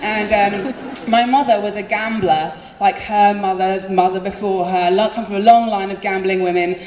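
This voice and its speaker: A woman's voice: medium pitch, fairly even intonation, normal speed, a little mellow. A woman performing spoken word live, chatty and self-deprecating, often laughing between lines. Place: live concert venue. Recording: echoey hall with faint room noise.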